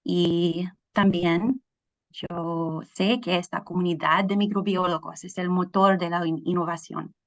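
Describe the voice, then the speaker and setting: A woman presents at a measured, unhurried pace, choosing her words carefully as a non-native speaker. Her voice sits a little low, and the video call audio comes through dull and lo-fi. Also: fairly even intonation. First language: English. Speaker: guest speaker, scientist. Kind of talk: webinar presentation.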